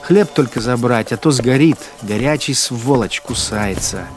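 A man's voice: mid-range voice, measured pace, over music, close to an everyday voice. A man playing a callous, irritable machine gunner in a radio drama, speaking his thoughts in a terse, bitter monologue. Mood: cold, bitter. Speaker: actor in a radio play.

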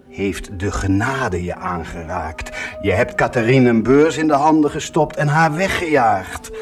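An actor playing a bitter, mocking fallen priest tormented by a devil, in a theatrical, accusing radio drama style. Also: male voice, fairly low pitch. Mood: scornful reproach. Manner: slow, accusing, sardonic, solemn declaiming.

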